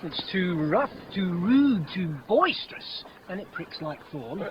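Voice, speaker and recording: Slow, lovestruck young gentleman, noisy radio. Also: high voice, sing-song. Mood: gloomy, restless. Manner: earnest, declamatory verse delivery. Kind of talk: 1950s radio Shakespeare acting. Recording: muffled, noisy old radio broadcast.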